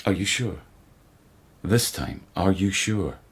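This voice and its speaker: Radio drama: a devoted court policeman, very low and breathy, measured and gently insistent in interrogation. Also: heavily acted. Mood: earnest, tender. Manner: quiet, measured, gently pressing questioner. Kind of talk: literary radio drama acting.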